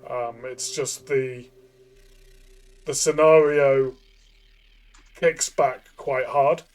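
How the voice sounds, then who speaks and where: A man co-hosting a casual game review chat, thinking aloud in a halting way and repeating phrases. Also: mid-range voice, fairly even intonation.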